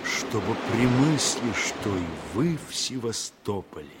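A man's voice: on the low side, lively intonation, a little slow. A man giving a solemn, earnest literary reading for radio. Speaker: reader. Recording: old radio recording with loud hiss.

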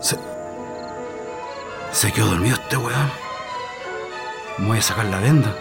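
An actor playing an anxious, submissive political prisoner in a radio drama, with a Chilean accent and a hesitant, pleading way of talking. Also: male voice, fairly low pitch, a little slow, gravelly. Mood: hushed, torn. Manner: hesitant, pleading, muttering to himself. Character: anxious, submissive male political prisoner, blindfolded, longing for freedom. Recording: radio drama with music behind.

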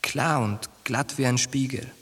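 A man's voice: mid-range voice, unhurried, a bit bright, slightly rough. An actor playing a brash, cocky young soldier in a German radio drama, coarse and pushy with his boyhood friend. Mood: reminiscing.

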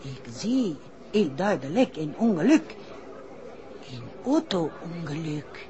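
An actress playing a dramatic fortune teller with a French accent, speaking in halting broken phrases full of warning in a 1960s radio play. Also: female voice, sits low, big pitch swings, warm tone, slight background noise, full character voice. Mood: alarmed warning. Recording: dull old radio recording, faint crowd.

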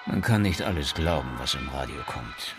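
Tough detective, very low voice. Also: male voice, lively intonation, normal speed, music in the background. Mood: curt, pressing. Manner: blunt, gruff, demanding. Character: tough, impatient male Harlem police detective interrogating suspects. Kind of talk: radio crime drama acting.

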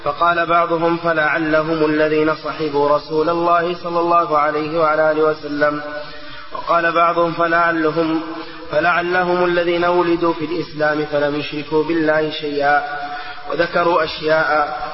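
A man reads a religious text aloud in a flat, recitational tone. His voice is a little high, and it rings through a very echoey hall. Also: brisk, highs cut off. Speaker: student reader of the text.

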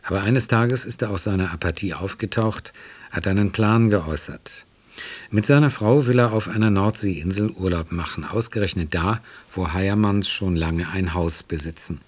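Male radio announcer, deep and a bit rough, reading a scripted broadcast script. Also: normal speed.

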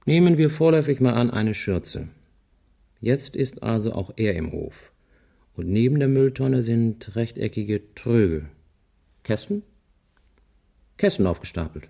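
An actor playing a wry, analytical husband in a radio crime drama, reasoning aloud in a deliberate, musing way. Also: male voice, medium pitch, lively intonation, gravelly, dark tone. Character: wry analytical husband puzzling out a child's grisly drawings.

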